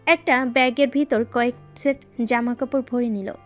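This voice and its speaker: A woman with a fairly high voice narrates a scripted romantic story. She speaks as the storyteller, with faint music playing underneath. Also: steady tone, moderate pace.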